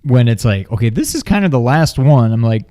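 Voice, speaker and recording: A man co-hosting a movie review in a casual chat, with informal, halting phrasing. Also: medium pitch, very animated, warm tone. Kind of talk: casual chat, film discussion.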